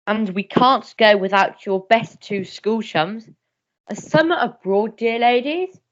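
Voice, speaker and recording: A woman's voice: a little high, measured pace, lo-fi sound, natural voice. A woman playing a blustering, hearty upper-class major and father in a melodramatic radio play. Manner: pompous, hearty.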